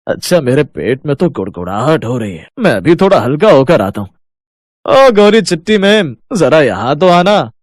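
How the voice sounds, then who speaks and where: A man voicing an earthy, comic older father-in-law in an animated story, folksy and unabashed. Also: a little high, moderate pace, no room sound, clean studio sound. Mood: folksy, amiable.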